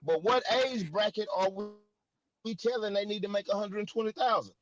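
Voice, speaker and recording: A high-pitched man who stumbles and restarts as he works toward his point in casual group talk. His audio is slightly muffled and dull. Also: moderate pace, a bit bright. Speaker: panelist. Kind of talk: casual panel chat.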